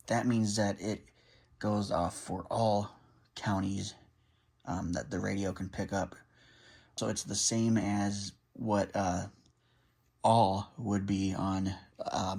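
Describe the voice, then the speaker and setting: A man's voice: fairly low pitch, a little mellow. A man doing casual, hands-on narration of a gadget setup, thinking aloud as he reads items off and comments on them. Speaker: vlogger demonstrating a gadget.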